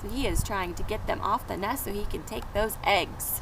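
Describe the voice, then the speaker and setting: A woman's voice: medium pitch, normal speed, vocal fry. A woman reading a picture book aloud to young children, expressively and with asides to her listeners, recorded outdoors. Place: outdoors near pet chickens. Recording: noisy outdoor recording, urban ambience.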